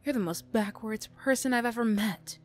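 A woman playing a defensive teenage school bully girl who reluctantly opens up, prickly and halting, in an emotional ASMR roleplay. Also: on the high side, pitched above the performer's own voice, natural voice. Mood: indignant, incredulous. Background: music.

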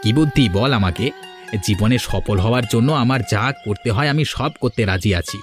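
A man's voice: mid-range voice, normal speed, over music, natural voice. A man playing an earnest, downcast young wastrel brother who pleads for redemption in a moral story cartoon. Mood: determined. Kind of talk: dramatic cartoon dialogue.

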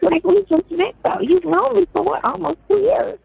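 Creaky-voiced woman on a dull phone call. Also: very high-pitched, expressive, moderate pace, slight background noise. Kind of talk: casual private phone chat.

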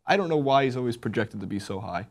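Young american guy hosting a sports panel, talks fast, medium pitch, studio lapel mic. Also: lively intonation, a little hiss or hum. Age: young adult. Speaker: sports show host. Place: TV studio, at a desk.

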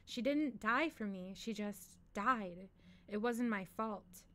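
A woman's voice: mid-range voice, slow, sharp tone. A woman voicing an earnest, defiant young apprentice sorceress in a fantasy story, speaking emphatically. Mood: protesting.

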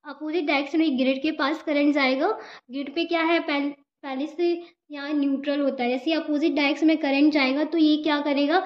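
A woman's voice: high voice, steady tone, brisk. A young woman giving an informal step-by-step lecture, repeating herself and answering her own questions. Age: young adult. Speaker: physiotherapy student giving a lesson. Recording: echoey room, dull lo-fi sound.

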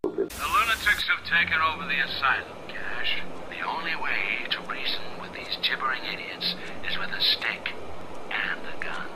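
A man's voice: mid-range voice, sing-song, normal speed, gravelly, whispered, low-quality recording. An actor playing a sadistic, mocking film director villain in a video game, sneering and theatrical as he gives orders. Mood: menacing instructing. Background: music.

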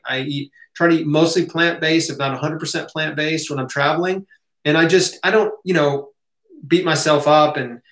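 An American man gives a casual Q&A talk in a fairly high voice. He is conversational and reassuring, punctuating his points with short check-in questions. The sound is clearly roomy, as if recorded at a desk in a home office. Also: a bit bright. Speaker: health educator, host. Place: small home office, indoors.

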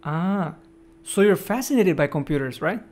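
A middle-aged man with a fairly high voice hosts a podcast, speaking in a measured, friendly way. He talks over a video call from his home office. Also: animated, a little mellow. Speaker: podcast host. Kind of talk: interview host, reading the intro.

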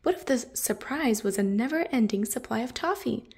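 A woman plays a stubborn yet nervous male Highland cow from a picture book. He is high-voiced and exclamatory, with big swoops in pitch. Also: moderate pace, breathy edge. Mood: pondering. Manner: exclamatory, expressive.

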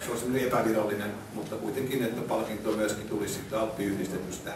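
A man's voice: on the low side, level tone. A man giving an informal presentation to a meeting. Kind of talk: informal presentation of a competition calendar. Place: indoor meeting room, camera in the audience. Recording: echoey meeting room, distant mic, noisy.

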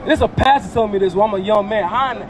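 A man's voice: high-pitched, very fast, crowd noise. A young man street preaching outdoors into a handheld mic, emphatic and questioning, often repeating words. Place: outdoors by a parking lot.

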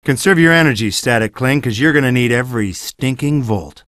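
An actor playing a cocky, sarcastic action hero in a video game cutscene, brash and swaggering. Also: male voice, medium pitch, expressive, fairly quick, a bit bright, no room sound, studio quality, lightly acted. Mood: taunting. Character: cocky, wisecracking one-handed male action hero.

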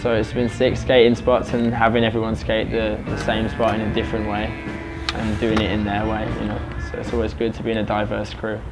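A man's voice: on the low side, completely flat delivery, a little fast, music in the background. A man in his late twenties from England with an English accent, chatting casually in an interview. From Birmingham, England. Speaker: skateboarder being interviewed.

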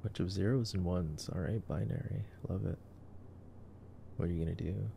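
A man playing a nerdy, affectionate boyfriend in an ASMR sleep-aid roleplay, soft-spoken, teasing and rambling as he comments on a movie. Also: low-pitched, moderate pace, creaky, a little hiss or hum. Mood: amused, curious.

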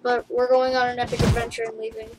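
A woman casually narrating her play of a game for viewers, rambling and chatty, with giggles and excited yelps. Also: a little high, fast talker, creaky, very noisy. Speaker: gaming YouTuber. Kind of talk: casual let's play commentary. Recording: game music under the voice.

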